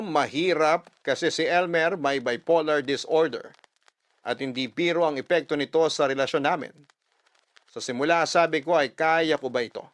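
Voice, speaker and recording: A man's voice: fairly high pitch, no echo. A man narrating a sentimental first-person love story for radio in an earnest, reflective manner. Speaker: narrator reading a letter sender's love story. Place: dry studio with soft music behind.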